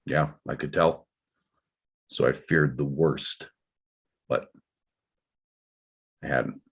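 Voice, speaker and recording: Man acting a low, husky, burdened father. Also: fairly even intonation, exaggerated voice. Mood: regretful. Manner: halting, measured, explaining himself. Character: burdened, defensive father full of regret. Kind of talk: dramatic table read.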